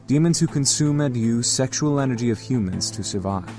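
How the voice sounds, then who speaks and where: A man's voice: mid-range voice, lively intonation, a little fast, music in the background, natural voice. A man voicing a polite, formal male incubus leader in a visual novel, courteous, precise and authoritative. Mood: polite, explanatory. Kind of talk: visual novel character acting.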